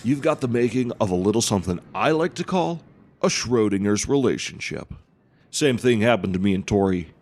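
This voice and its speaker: A man's voice: fairly low pitch, expressive, moderate pace, a little mellow. A man playing a good-natured, laid-back surfer dude in an audio drama, rambling through stories in slang.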